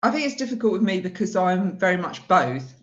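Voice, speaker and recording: A woman's voice: fairly low pitch, a little fast, crowd noise. Essex-accented woman comedian, dull call audio. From England. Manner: animated storyteller who acts out other people's lines. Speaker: stand-up comedian guest. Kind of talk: casual interview chat. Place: home room, video call.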